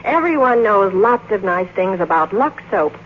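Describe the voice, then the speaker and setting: Perky american lady doing old radio ad, high lively pitch swings, tinny 50s sound. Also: normal speed. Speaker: commercial spokeswoman. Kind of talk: scripted 1950s radio advertising. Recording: tinny, hissy vintage radio broadcast.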